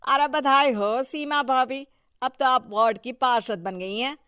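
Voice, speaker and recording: A woman's voice: sits high, measured pace, smooth, dry recording, studio quality. A woman playing a deferential, fawning townsperson in a moral story. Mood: flattering. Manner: flattering, pleading. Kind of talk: acted moral-story dialogue.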